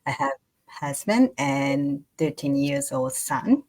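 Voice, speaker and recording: A woman from Okinawa, Japan, co-hosting and introducing herself with simple, halting phrasing. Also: deep voice, very animated, a little mellow. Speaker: co-host. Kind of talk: self-introduction in a live chat.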